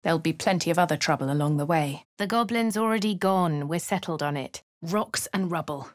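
An actress with a posh English accent voicing a composed young female adventurer in a game, crisp and clipped. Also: sits low, fairly quick, no echo, clean studio sound, close to an everyday voice. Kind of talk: game voice lines and narration.

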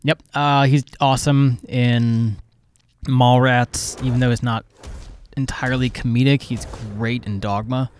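A man's voice: medium pitch, level tone, a little slow, clean tone, no echo. A young adult man co-hosting a gaming video in casual, conversational chat.

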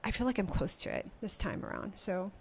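A woman in her thirties from Malaysia, a startup founder answering interview questions reflectively with many pauses and restarts, speaking into a desk podcast microphone in a small studio. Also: mid-range voice, sing-song, creaky, slight background noise. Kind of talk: conversational interview. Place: small studio with wooden wall.

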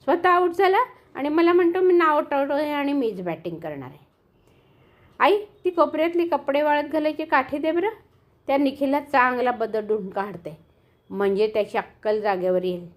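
A woman voicing a hot-tempered little girl in a storybook tale, talking loudly and protesting. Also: sits high, lively intonation, normal speed. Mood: indignant. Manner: loud, pouting, protesting.

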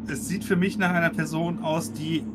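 A man's voice: fairly high pitch, fairly even intonation, moderate pace, background noise. A man speaking as a player in a tabletop roleplay on a video call, slipping into character. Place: home room on video call. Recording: music under the voice.

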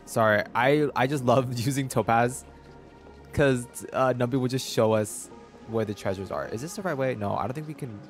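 A man's voice: mid-range voice, normal speed, faint music behind. A man in his twenties giving slangy, chatty live-stream commentary on a game, close to the mic indoors.

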